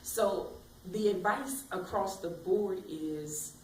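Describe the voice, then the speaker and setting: A woman career coach giving bold, expressive motivational advice in an interview. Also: medium pitch, takes it slowly, a little hiss or hum. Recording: echoey room, mic at a distance.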